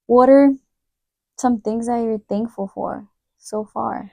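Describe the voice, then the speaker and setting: A woman in her twenties co-hosting a podcast, talking in a relaxed, chatty way. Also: a little high, slow, vocal fry, no echo. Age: 20s. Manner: relaxed, chatty, often finishes her co-host's sentences. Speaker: podcast co-host. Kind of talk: casual chat.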